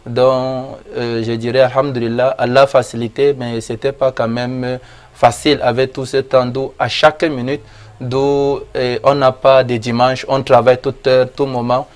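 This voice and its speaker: A man from Cameroon whose first language is Arabic, answering an interviewer in a measured, devout manner. His voice stays level and flat, sitting at a middling pitch, and he mixes in Arabic religious phrases. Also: clean tone. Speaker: imam and author, interview guest.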